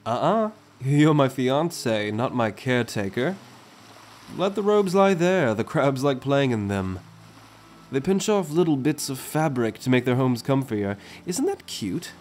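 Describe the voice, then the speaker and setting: A man playing a confident, affectionate merman prince in a gentle, teasing, courtly manner for an ASMR roleplay. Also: medium pitch, sing-song, a little slow, slight background noise. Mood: playful, fond. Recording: music under the voice.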